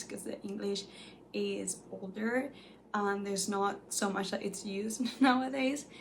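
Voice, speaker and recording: A young woman whose first language is Spanish, chatting casually about books she has read, animated and full of "like"s. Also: mid-range voice, vocal fry, a little hiss or hum. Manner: chatty and animated, many "like"s, light laughs. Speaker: book reviewer. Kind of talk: casual chat to camera.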